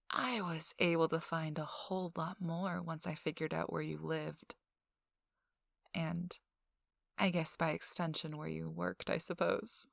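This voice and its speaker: Woman acting a slow, sly, feisty socialite. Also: fairly low pitch, gravelly, no echo. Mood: teasing, smug. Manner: slow, teasing, dry sarcasm, drifting into whispers. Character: feisty young rich socialite, sly, teasing, self-assured. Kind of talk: intimate ASMR audio roleplay monologue.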